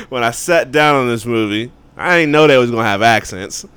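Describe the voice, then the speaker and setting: A man's voice: mid-range voice, normal speed, fairly bright tone, clear, resonant tone. A man co-hosting a movie podcast, talking in a loose, slangy, rambling way. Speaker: podcast co-host. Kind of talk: casual movie chat.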